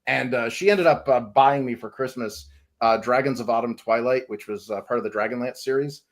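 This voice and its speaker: A man's voice: mid-range voice, brisk. A man from New England telling rambling stories in a casual panel chat, laughing often as a comic creator guest.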